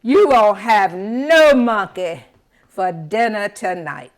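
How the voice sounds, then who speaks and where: A woman's voice: mid-range voice, lively intonation, slow. A woman voicing a clever, bold male monkey who talks back with sass and authority. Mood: defiant. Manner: loud, sassy and commanding.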